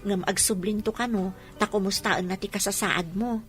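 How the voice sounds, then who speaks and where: A woman's voice: mid-range voice, fairly even intonation, moderate pace, over music, close to an everyday voice. A woman with a Filipino accent playing a playful, polite young student who frets over her friend in a radio drama. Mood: concerned, teasing. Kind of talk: Ilocano radio drama acting.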